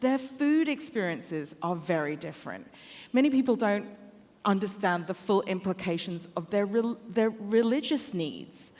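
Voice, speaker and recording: A woman's voice: mid-range voice, expressive, a little slow, darkish tone. A woman from Hong Kong speaking on a conference panel, telling anecdotes and addressing the audience directly. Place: large conference hall. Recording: hall reverb.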